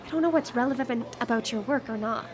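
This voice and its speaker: Actress as a high-voiced, guarded grieving widow. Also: female voice, fast talker, crowd noise, natural voice. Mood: insistent, troubled. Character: grieving, determined widow questioning her wife's disappearance. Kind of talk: live radio drama acting.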